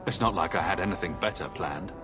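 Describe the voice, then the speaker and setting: A man's voice: low-pitched, fast talker, lightly acted. An actor playing a guarded, sceptical male adventurer in a video game, dry and questioning. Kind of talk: video game dialogue acting. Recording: game music behind the voice.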